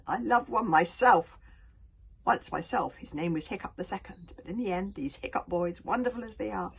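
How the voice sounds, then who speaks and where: A woman's voice: mid-range voice, slight background noise. Woman putting on a furious giant male dragon, roaring, bitter, resentful, storybook. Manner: roaring, accusing.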